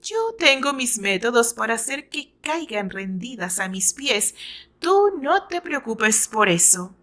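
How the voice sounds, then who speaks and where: A woman's voice: on the high side, very animated, unhurried, pushed and bright, full character voice. Woman playing a cocky, teasing young man. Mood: boastful. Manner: mocking, boastful.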